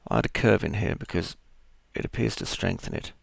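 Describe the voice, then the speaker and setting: A man with a croaky voice, casually talking through each step of a 3D modeling tutorial as he works. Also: sits low, wildly swooping pitch, fairly quick, no echo. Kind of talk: screen-recorded software tutorial.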